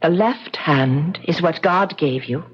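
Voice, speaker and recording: An actress playing a superstitious, shy Irish country wife in a radio drama, speaking with an Irish accent and a grave sense of foreboding. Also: female voice, sits low, takes it slowly, slightly rough, exaggerated voice. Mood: reluctant, solemn. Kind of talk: 1970s radio drama acting. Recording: dull radio recording, loud music.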